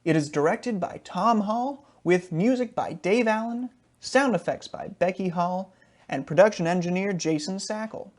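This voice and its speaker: Mid-pitched announcer reading a scripted introduction. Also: expressive, moderate pace.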